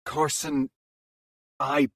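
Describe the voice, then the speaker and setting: A man's voice: on the high side, flat delivery, drawn-out words, fairly bright tone, dry recording, clean studio sound. A man playing a reluctant, responsible young hero in a fantasy drama. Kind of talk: fantasy audio drama acting.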